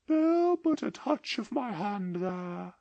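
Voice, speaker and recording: A mild ghost of the past, high swooping voice, gravelly edges, slow solemn delivery. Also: female voice, very animated, mellow, dry recording, heavily acted. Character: gentle ageless ghost of the past, otherworldly. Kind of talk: audiobook dramatization.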